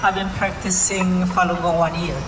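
A man's voice: high-pitched, flat delivery, moderate pace, roomy sound, far from the mic, background noise. A man from Korea giving a brief, hesitant greeting. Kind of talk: short spoken greeting.

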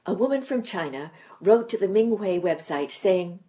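A woman anchoring a weekly news review, reading a script in an even, measured way. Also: fairly low pitch.

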